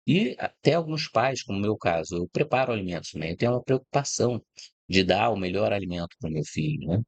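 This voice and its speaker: A man's voice: on the low side, expressive, a little fast, dry recording. A middle-aged man from Brazil giving an informal, reflective talk, explaining ideas step by step and often checking in with the listener. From Brazil, born in Rio de Janeiro. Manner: reflective, explanatory, frequent "né?" and "tá?" tags. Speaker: host of a channel about food and agribusiness. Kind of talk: informal spoken talk to camera.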